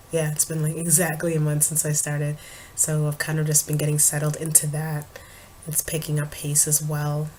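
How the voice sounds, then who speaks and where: A young woman vlogger and poet chatting casually to her camera at home, her voice a little raspy from a cold, with frequent ums and small laughs. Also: low voice, darkish tone, slight background noise. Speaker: vlogger and spoken word poet.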